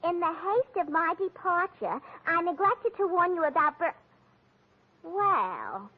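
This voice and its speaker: A woman's voice: very high voice, big pitch swings, unhurried, exaggerated voice. An actress playing a scatterbrained, chatty secretary who muddles her words, in 1940s radio comedy style. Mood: earnest, flustered. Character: daffy, chatty female stand-in secretary. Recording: thin phone-like old radio recording.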